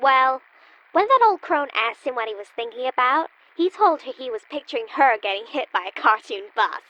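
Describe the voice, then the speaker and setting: High-pitched, feisty, bossy girl. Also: expressive, moderate pace, sharp tone, dull audio. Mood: sly, confident. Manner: brash, wisecracking, teasing. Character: feisty, bossy, sly girl in a radio play. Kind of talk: old-time radio comedy sketch acting.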